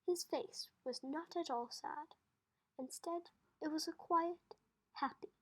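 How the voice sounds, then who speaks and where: Amateur female audiobook reader, high voice, careful storybook narration with small stumbles. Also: slow, a bit bright. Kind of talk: storybook narration read aloud.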